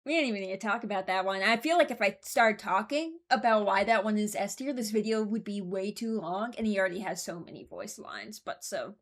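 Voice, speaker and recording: A woman's voice: mid-range voice, animated, fairly quick, few pauses. Female YouTuber for casual ranking commentary; frank, rambling, hesitant, mock-serious. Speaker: YouTuber ranking game voice lines.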